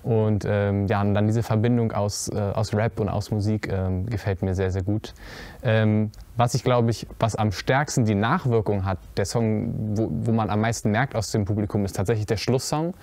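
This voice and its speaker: A young man, seated on a stage, talks his way through interview questions in a lowish voice, pausing often with fillers and restarting his sentences. The sound is dry and close, with no room echo. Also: steady tone, a little mellow. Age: young adult. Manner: halting, many fillers and restarts. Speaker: young actor in a musical theatre piece. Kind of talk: interview answers. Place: cinema auditorium stage before a curtain.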